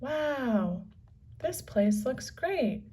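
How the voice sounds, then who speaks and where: A woman voicing an eager, adventurous young girl in a children's storybook, with an excitable, exclaiming manner. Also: sits high, extremely slow, husky, far from the mic, pitched above the performer's own voice, exaggerated voice. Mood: excited wonder.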